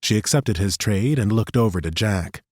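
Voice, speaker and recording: A male narrator reading a fantasy novel aloud in a studio booth. His voice sits fairly low, and his storytelling is steady and even. The sound is dry and close to the mic. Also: normal speed, dry recording. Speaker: audiobook narrator. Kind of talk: scripted fantasy audiobook narration.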